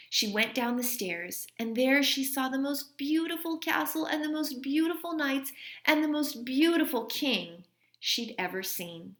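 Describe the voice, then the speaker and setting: Higher-voiced woman reading a children's story. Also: a bit bright, reverberant. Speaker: teacher reading a storybook aloud. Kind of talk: children's story read-aloud.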